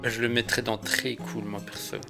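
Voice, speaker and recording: Jeune homme français, voix de hauteur moyenne. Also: male voice, medium pitch, level tone, normal speed, vocal fry, over music. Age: young adult. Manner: informal, slangy. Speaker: guest co-commentator. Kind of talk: casual chat.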